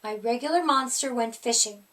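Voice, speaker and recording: Woman reading as a high-voiced, cheeky young boy. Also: normal speed, fairly bright tone, natural voice. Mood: picky, defiant. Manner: questioning, giggly. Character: picky, cheeky young boy hard to scare at bedtime.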